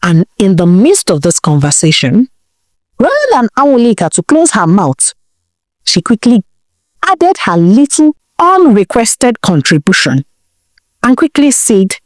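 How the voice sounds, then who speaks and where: A woman telling a village folktale in a dramatic, expressive way, now and then asking the listener questions. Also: medium pitch, unhurried, no echo, studio quality. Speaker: storyteller. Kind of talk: folktale storytelling narration.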